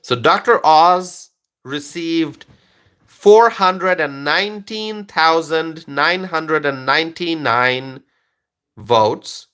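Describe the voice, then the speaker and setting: A man from Bangladesh with Bengali as his first language hosts a political live stream, his voice sitting a little high and ringing very bright. He drives his points home by repeating them, and he keeps checking in with his viewers. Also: slow, smooth. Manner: repetitive, emphatic, checks in with "okay?", answers chat questions. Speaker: livestream political commentator.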